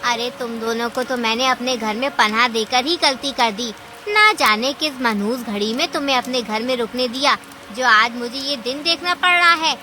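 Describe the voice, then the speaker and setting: Woman voicing a sharp, scolding, greedy cartoon aunt. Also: a little high, moderate pace, a little hiss or hum. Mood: angry, scolding. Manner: theatrical, scolding exclamations. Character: greedy, scheming, scolding aunt animal, quick to panic. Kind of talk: Hindi cartoon story acting.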